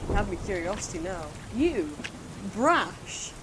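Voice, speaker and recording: Woman playing blunt, feisty young adventurer, lively pitch. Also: a little slow, background noise. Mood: teasing. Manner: blunt, colloquial, challenging. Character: feisty, blunt young female companion, suspicious yet loyal. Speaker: actor in audio drama.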